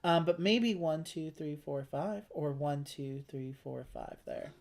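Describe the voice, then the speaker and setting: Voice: mid-range voice, takes it slowly, creaky, a little mellow. A person chatting casually over a board game, talking through options and thinking aloud as co-host. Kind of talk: casual chat while playing a board game.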